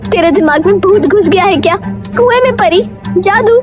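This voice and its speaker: A bitter, ailing village mother acted by a woman, scolding and dismissive. The voice is very high, in a cartoon moral-tale manner, with clear music behind it. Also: expressive, normal speed. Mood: angry, disbelieving.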